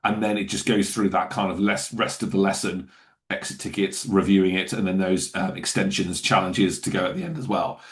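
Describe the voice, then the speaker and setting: A man walking viewers through software in a webinar, talking casually and loosely with fillers and self-corrections. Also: low voice, brisk. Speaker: software trainer. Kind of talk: webinar screen-share walkthrough.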